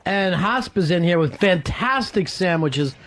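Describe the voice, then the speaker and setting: A man's voice: high-pitched, expressive, unhurried, fairly bright tone. An American man hosting a talk radio show, teasing his crew in loose, rambling banter. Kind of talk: casual radio chat.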